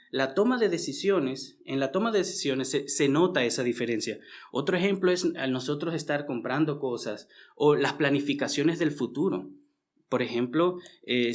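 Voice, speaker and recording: A man from Venezuela with a Venezuelan accent, preaching a conversational sermon to his congregation. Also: a little high. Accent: Venezuelan Spanish. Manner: conversational, frequent tag questions and restarts.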